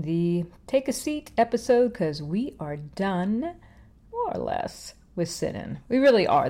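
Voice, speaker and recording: A middle-aged woman hosting her own podcast, talking in a relaxed, rambling way with soft whispered asides. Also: fairly low pitch. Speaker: podcast host. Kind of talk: casual chatty monologue.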